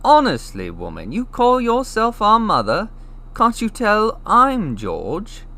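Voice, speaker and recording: A man voicing a cheeky teenage boy in an audiobook, loudly teasing in mock outrage. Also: sits high, huge pitch swings, takes it slowly, vocal fry, voice pushed up, heavily acted. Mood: mock indignant. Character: cheeky teenage boy twin, loud mock-indignant joker. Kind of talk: audiobook character voice.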